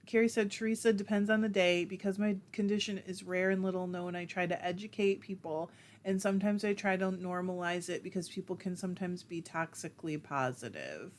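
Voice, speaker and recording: A woman's voice: fairly low pitch, moderate pace, darkish tone, slight background noise. Chatty woman hosting a livestream. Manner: chatty and self-deprecating, laughs often. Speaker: livestream host. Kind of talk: casual livestream chat, reading viewer comments aloud.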